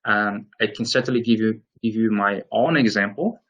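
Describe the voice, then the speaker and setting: A man's voice: lowish voice, moderate pace, fairly bright tone, highs cut off. A man in his late thirties from Brazil with a Brazilian Portuguese accent, presenting slides in an explanatory way with hesitant phrasing. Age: late 30s. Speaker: webinar presenter. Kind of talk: instructional slide presentation, semi-scripted talk.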